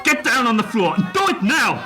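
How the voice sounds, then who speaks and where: An actor playing a violent armed bank robber in a radio play, barking commanding, threatening orders. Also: male voice, very high-pitched, sing-song, fairly quick. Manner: shouted, commanding. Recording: loud dramatic music and crowd behind.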